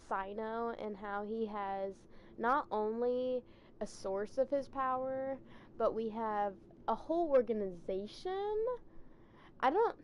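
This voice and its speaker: Casual female streamer, fairly high, excitedly rambling commentary, muffled microphone. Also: slight background noise. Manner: rambling, enthusiastic, halting. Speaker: game streamer.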